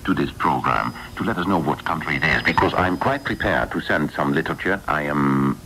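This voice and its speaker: A man's voice: very deep voice, expressive, brisk, sharp tone. A man answering listeners' letters off the cuff as a radio studio guest, heard on a noisy shortwave recording.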